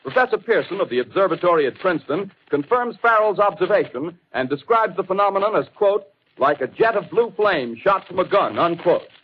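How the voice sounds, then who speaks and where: High-pitched 1930s radio announcer, male. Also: animated, a little fast. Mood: formal, earnest. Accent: American. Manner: formal, clipped, declamatory. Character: formal, urgent male radio news announcer. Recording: dull, hissy 1930s radio recording.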